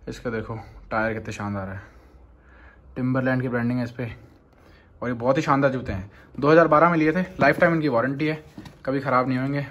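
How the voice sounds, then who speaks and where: A man from Rajasthan chatting casually to his camera as a travel vlogger, talking to viewers from a car on a highway. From Rajasthan, India. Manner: chatty, relaxed, addresses viewers as guys. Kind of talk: casual vlog narration to camera. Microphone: compact camera's built-in mic. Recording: loud road and engine noise.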